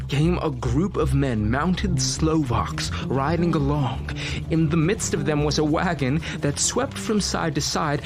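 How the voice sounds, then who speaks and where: A man playing a grave, commanding vampire-hunting doctor in an audio drama, intense and theatrical in his delivery. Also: on the high side. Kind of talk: audio drama acting, dramatic first-person narration. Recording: dramatic music under the voice.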